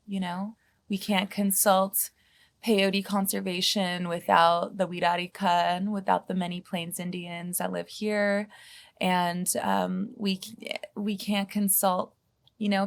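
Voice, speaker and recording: A woman's voice: medium pitch, vocal fry. A woman guest on a podcast, speaking in an earnest, reflective way and often saying "you know". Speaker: guest, healer and psychedelic guide. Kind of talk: podcast conversation.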